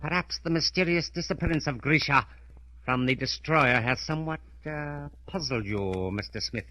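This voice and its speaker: A criminal mastermind of old radio drama, with a sharp, forceful voice. He is unhurried and precise, wrapping every command in cold, formal courtesy. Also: fairly high pitch, poor audio. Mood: smug, measured. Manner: formal, precise, menacingly polite. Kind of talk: 1940s radio acting.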